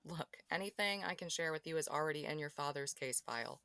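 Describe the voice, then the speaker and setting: A woman playing a cool, sharp-eyed female police detective with a guarded, curt manner. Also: sits low, lively intonation, fairly quick, no echo, exaggerated voice. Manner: crisp, guarded and dismissive. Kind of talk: amateur audio drama acting.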